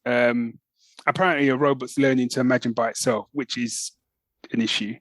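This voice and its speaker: A man's voice: medium pitch, steady tone, normal speed, a bit bright, dry recording. A man, co-hosting a podcast with rambling, colloquial, casual chat about the news. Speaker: podcast co-host.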